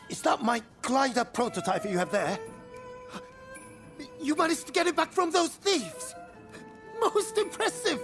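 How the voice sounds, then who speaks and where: An actor playing an eccentric inventor in a video game, theatrical and exclamatory, swinging between fretting and delight. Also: male voice, very high voice, heavily acted. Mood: amazed, flustered. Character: excitable, eccentric male tinkerer and inventor. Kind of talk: video game cutscene acting.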